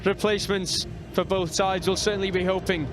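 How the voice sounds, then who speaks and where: A man from North Wales with a North Welsh accent, calling a rugby match as live commentator in a measured, steady way. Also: sits high, noisy background. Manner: measured, reads out team lines steadily.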